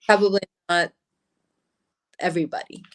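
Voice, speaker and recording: A woman with a low voice and a Mandarin accent speaks English as her second language. She talks in halting bursts, restarting and correcting herself often. She is heard through a laptop webcam on a video call. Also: steady tone. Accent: Mandarin-influenced English. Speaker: brand founder, guest. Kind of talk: casual video-call interview.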